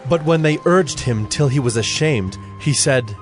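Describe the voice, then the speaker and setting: A man with a medium-pitched voice narrates scripture. His delivery is solemn and scripted, telling the story over background music. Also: expressive, measured pace.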